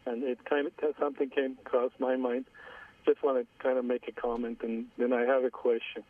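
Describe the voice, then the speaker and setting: A man in his forties with an Iranian Armenian accent, calling in to a radio show, hesitant and halting, repeating his words. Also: mid-range voice, level tone, dry recording. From Iran. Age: 40s. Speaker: caller. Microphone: phone line. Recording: distant husky phone line.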